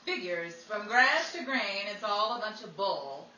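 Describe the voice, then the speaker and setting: A woman's voice: medium pitch, sing-song, a little slow. A woman acting as a wisecracking 1940s radio announcer and servicewoman, with a showy, theatrical delivery. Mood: wry. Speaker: announcer and actress. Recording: echoey room, distant mic, crowd noise.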